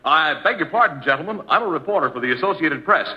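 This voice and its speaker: An actor playing a brisk, skeptical newspaper reporter in a 1950s radio comedy. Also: male voice, on the high side, lively intonation, pushed and bright. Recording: muffled old radio recording.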